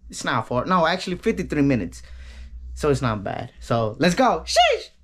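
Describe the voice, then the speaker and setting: A man's voice: on the high side, sing-song, normal speed. A young man talking slangy and casual as he vlogs, filming himself on a handheld camera in his room, a car and a packed concert hall. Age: young adult. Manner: slangy, hyped, laughing with friends. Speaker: vlogger. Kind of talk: casual vlog chat. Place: car, then loud indoor concert hall. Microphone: handheld action camera. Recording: loud concert music and crowd noise. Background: loud live music and crowd.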